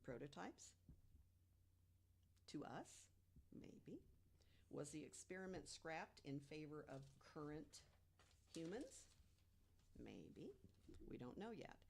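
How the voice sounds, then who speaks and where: Low-voiced woman explaining on a podcast. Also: very animated, takes it slowly, vocal fry, long pauses, a little mellow, slight background noise. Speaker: podcast guest panelist. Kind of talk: informal podcast storytelling from research notes.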